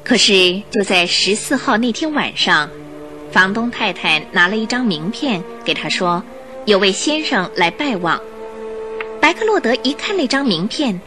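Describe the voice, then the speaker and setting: A woman narrating a radio drama in an expressive, measured, scripted storytelling style. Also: fairly high pitch.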